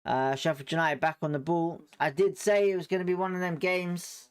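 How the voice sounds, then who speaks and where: A man's voice: on the high side, flat delivery, brisk, smooth. A man hosting a live fan watchalong at home, calling the play and chatting his opinions while hedging them. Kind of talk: live fan commentary over a match.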